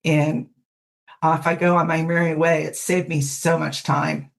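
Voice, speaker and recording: A woman's voice: deep voice, level tone, measured pace, creaky. A woman speaking as a podcast guest in a casual, conversational interview style. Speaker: podcast guest, fanfiction audio narrator.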